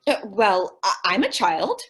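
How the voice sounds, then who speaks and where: A woman's voice: medium pitch, very animated, a little slow. A woman playing a naive, sweet, scatterbrained young woman in an old-time radio comedy, with an innocent, hesitant delivery. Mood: earnest, pleading.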